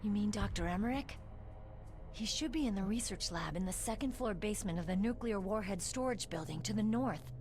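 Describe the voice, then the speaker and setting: A woman performs a young rookie soldier in a video game drama. She sounds earnest and determined, with a medium-pitched voice. Music plays clearly behind her. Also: animated, a little fast, darkish tone, natural voice. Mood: matter-of-fact. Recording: game audio under background music.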